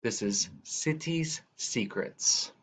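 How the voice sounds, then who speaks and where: A man reading a children's picture book aloud in a measured, deliberate way. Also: mid-range voice, expressive. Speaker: reader. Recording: dull, lo-fi sound.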